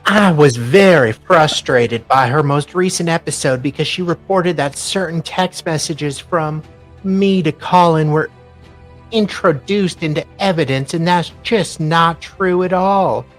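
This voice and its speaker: A woman co-hosting a livestream, reading messages aloud in a halting, deliberate way with casual reactions. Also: low voice. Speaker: livestream co-host. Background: music.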